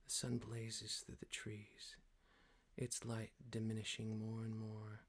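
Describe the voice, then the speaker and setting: A man playing a calm boss who gently leads a guided meditation in a breathy, hushed ASMR whisper, speaking deliberately and soothingly. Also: fairly low pitch. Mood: tranquil, hypnotic.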